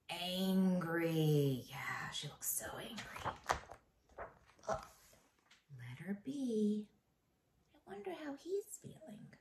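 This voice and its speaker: Animated, unhurried woman teacher reading aloud. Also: mid-range voice. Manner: expressive and encouraging, pauses to ask the listeners questions. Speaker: elementary school teacher. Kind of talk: read-aloud of a children's picture book, talking to young pupils.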